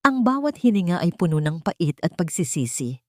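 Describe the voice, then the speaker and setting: A woman with a Filipino accent narrating a dramatic scripted story in a solemn, emotive, deliberate way. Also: lowish voice, big pitch swings, dry recording, studio quality.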